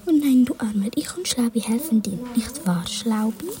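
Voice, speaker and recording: A woman's voice: a little high, animated, normal speed, far from the mic, very noisy, lightly acted. A woman whispering the part of a greedy small male gnome. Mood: whispered.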